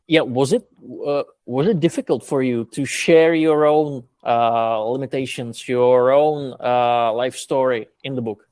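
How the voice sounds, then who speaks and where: A man interviewing a guest, asking questions hesitantly with many pauses. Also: medium pitch, steady tone, darkish tone, clear, resonant tone, no room sound. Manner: hesitant, many fillers and restarts.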